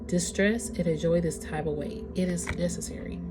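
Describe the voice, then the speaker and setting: Woman w a stuffy congested nose, kinda low voice, chatty spiritual reading, music going in the background. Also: moderate pace. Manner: casual, conversational, searching for words. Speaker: tarot reader. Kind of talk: spiritual reading for a collective audience.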